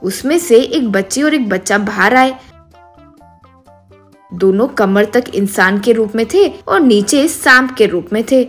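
A woman's voice: on the high side, animated, measured pace, faint music behind. A woman narrating a scripted storybook tale as the narrator.

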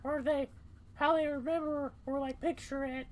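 A man's voice: extremely high pitch, little pitch movement, normal speed. A man voicing an exhausted, frightened young female animal in a dramatic video game reading. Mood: drained, shaken.

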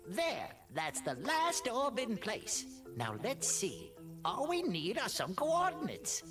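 A man's voice: extremely high pitch, huge pitch swings, slow pace, music in the background, exaggerated voice. A performer playing a fussy, flustered old male mole professor in a video game. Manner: flustered, dithering. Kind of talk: video game cutscene acting.

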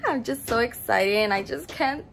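A woman's voice: fairly high pitch, normal speed, vocal fry, a bit bright, a little hiss or hum. A woman in her early twenties chatting to her phone camera in a bubbly way, calling her viewers "y'all". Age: early 20s. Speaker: vlogger. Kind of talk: casual chat to camera. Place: home bedroom, then car and stores. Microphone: phone camera at arm's length.

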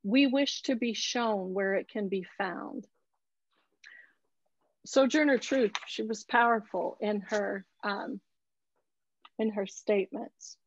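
A middle-aged woman speaking earnestly and deliberately in a presentation over a video call, repeating key words for weight. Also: mid-range voice, no echo. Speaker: photographer giving a talk. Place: indoor room on a video call. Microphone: earbuds on a video call. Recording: dull, dry video-call audio.

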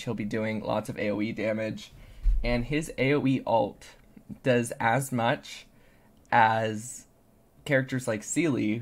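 An excitable content creator giving casual, gushing, slangy reaction commentary on a game. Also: mid-range voice. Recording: music behind the voice.

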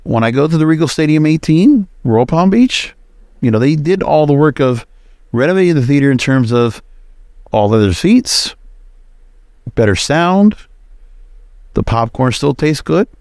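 A man's voice: a little high, sing-song, measured pace, long pauses, darkish tone, no room sound, clean studio sound. A man hosting a podcast solo with casual, conversational commentary, dropping opinionated asides in short, choppy sentences. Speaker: podcast host.

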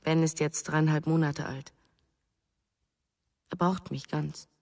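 A grieving young mother in a radio play. Her voice is low and restrained, pensive in short phrases, with a long reverb around it. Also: fairly even intonation, takes it slowly, gravelly, mellow, heavily acted. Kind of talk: German radio drama acting.

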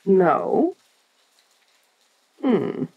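An ancient giant monster woman, played by a voice actress for an audio roleplay. She is imperious and curious, speaking very slowly and deliberately at a middling female pitch, as if to a lesser creature. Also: sing-song, slightly rough, phone-quality sound, exaggerated voice. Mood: haughty, curious.

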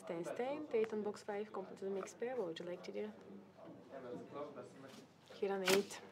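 A woman's voice: mid-range voice, normal speed, a crowd in the background. A young woman working as a casino dealer, politely announcing the game in formal set phrases. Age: young adult. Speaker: live casino blackjack dealer. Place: indoor casino studio.

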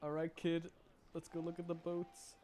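A woman's voice: lowish voice, completely flat delivery, moderate pace, mellow, faint music behind. A woman streamer giving casual, amused game commentary, reacting aloud as she plays. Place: indoor stream voice-over with game music behind.

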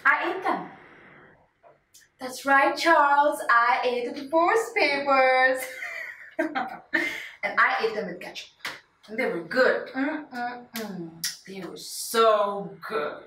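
A woman performs as a wounded, theatrical wife clinging to her marriage in a solo stage piece. She speaks slowly and emphatically with lively swoops, turning from wry jokes to pleading. The recording is roomy and a little far from the mic. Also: high voice, full character voice. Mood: defiant, gleeful. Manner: dramatic, emphatic, swinging between joking and pleading. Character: desperate, quirky wife refusing a divorce, wounded and theatrical. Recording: roomy, distant mic, faint noise.

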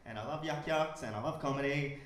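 A man in his thirties with an Australian accent doing an absurd stand-up set on a club stage, with awkward deadpan delivery. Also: medium pitch, a little fast, slight background noise. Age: 30s. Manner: awkward, deadpan, bursts into silly songs. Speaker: stand-up comedian. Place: comedy club stage with audience. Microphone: stage mic on stand. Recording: roomy club sound.

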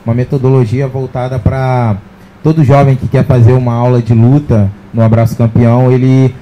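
A man's voice: medium pitch, little pitch movement, moderate pace, lo-fi sound, slight background noise. A man, speaking earnestly at a panel on an indoor stage with a handheld mic. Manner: earnest, rambling with many fillers. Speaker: social project leader. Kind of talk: panel discussion. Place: indoor event stage with sponsor backdrop.

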